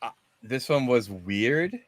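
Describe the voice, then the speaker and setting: Guy on a movie panel show, casual, kinda trails off mid thought, normal pitch, dry sound. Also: expressive, takes it slowly, no echo.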